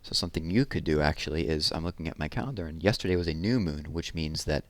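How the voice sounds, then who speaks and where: A man's voice: a bass voice, fast talker, no echo. A man hosting a read-aloud, reading children's poems expressively and explaining things simply to young listeners.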